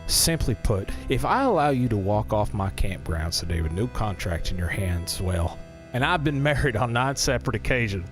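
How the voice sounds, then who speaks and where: A man's voice: lowish voice, big pitch swings, moderate pace. An actor playing a folksy, genial carnival owner in an audio drama, talking in a salesman's patter. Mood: genial, persuasive. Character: folksy, smooth-talking carnival owner, a much-married man. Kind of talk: scripted audio drama acting. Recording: music behind the voice.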